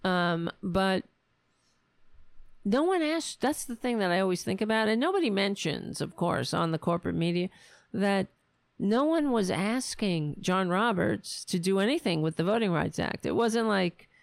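A woman hosting a solo political commentary show, ranting angrily with profanity while her voice is going hoarse. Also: medium pitch, animated, moderate pace, no room sound. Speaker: host.

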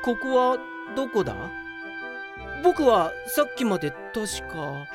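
Dramatic, high-voiced earnest male office worker. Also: big pitch swings, slow. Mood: bewildered. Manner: theatrical, earnest, self-absorbed. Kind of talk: comedic Japanese radio drama acting. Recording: voice over clear background music.

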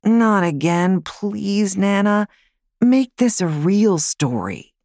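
A woman's voice: medium pitch, lively intonation, slow, darkish tone, no room sound, clean studio sound, voice pushed up. A woman voicing a skeptical young boy in an audiobook, grudging and unimpressed. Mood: unenthused. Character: skeptical young boy, grandson wary of kissing stories. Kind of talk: audiobook character voice.

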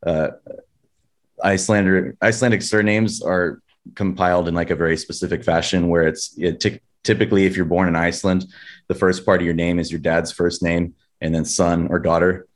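A man with a Californian accent telling stories casually in an informal, rambling, slangy way. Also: deep voice. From San Diego, United States. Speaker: metal band vocalist, interview guest. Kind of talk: casual chat, storytelling.

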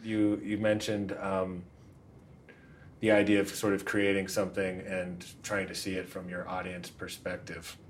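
A man hosting an interview in a relaxed, agreeable way, often affirming his guest. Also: low-pitched, a little slow, slight background noise. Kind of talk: casual interview chat.

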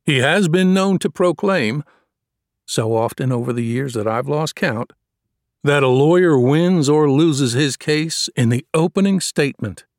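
A man's voice: a little high, expressive, a little slow, dry recording. Man narrating an audiobook, dry studio sound. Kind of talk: scripted first-person fiction narration.

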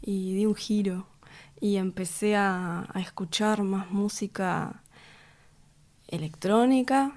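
A woman, a musician and record producer, talking through her work in an interview with a thoughtful, halting manner, full of fillers and restarts. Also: mid-range voice, a little mellow. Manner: thoughtful, hesitant, many fillers and restarts, laughs often. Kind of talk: casual interview conversation.

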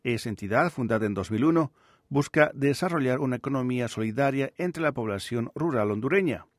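A man's voice: mid-range voice, animated, dry recording, clean studio sound. Formal male radio interviewer. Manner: formal, measured questions. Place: radio studio.

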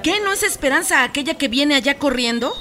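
A woman's voice: high voice, lively intonation, brisk, crowd noise. An actress playing a kindly, chatty village woman with a Mexican accent in a radio drama. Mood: cheerful, teasing. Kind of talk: Spanish-language radio drama acting.

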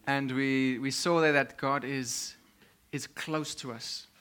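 Slow man preaching in short phrases. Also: mid-range voice, steady tone, a bit bright, clear, resonant tone. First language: Afrikaans. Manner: speaks in short phrases, pausing for translation. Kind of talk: sermon with live interpreter.

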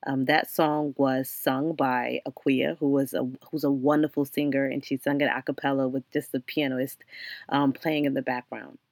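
Slightly hoarse woman podcast host. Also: extremely low pitch, flat delivery, normal speed, darkish tone, dry recording. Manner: many ums and restarts, faith-centered, earnest. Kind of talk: reflective solo podcast monologue.

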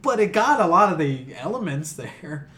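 A man's voice: a little high, big pitch swings, normal speed, fairly bright tone. A man co-hosting a podcast in casual chat, opinionated, slangy and given to swearing. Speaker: podcast co-host.

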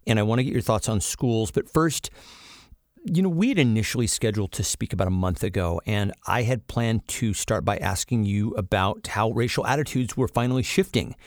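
A man hosting a podcast, reading a formal introduction and asking interview questions in a measured way. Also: fairly low pitch, dry recording, studio quality. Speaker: podcast host.

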